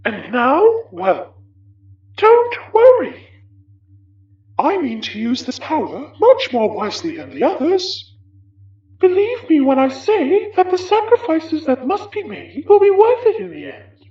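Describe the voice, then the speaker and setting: A sinister, genteel spirit in a horror audio drama. The voice is very high and swoops theatrically, unhurried and condescending, ringing with echo. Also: female voice, huge pitch swings, warm tone, dull audio, heavily acted. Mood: smug, menacing. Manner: theatrical, genteel, condescending old-fashioned phrasing. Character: possessing spirit, genteel, smug, sinister, wearing another's skin.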